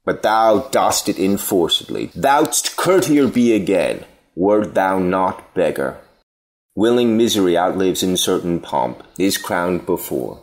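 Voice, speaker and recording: An actor playing a cynical, needling Athenian philosopher in a Shakespearean audio play, deliberate and mocking. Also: male voice, deep voice, expressive. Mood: scolding.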